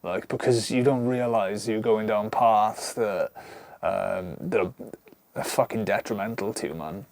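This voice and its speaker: A man's voice: lowish voice, unhurried, creaky, a little mellow, dry recording. A Welsh man in his thirties from South Wales, talking casually to camera inside his camper van, rambling with many ums and restarts and swearing freely. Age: 30s. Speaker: vlogger. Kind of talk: casual unscripted talk to camera.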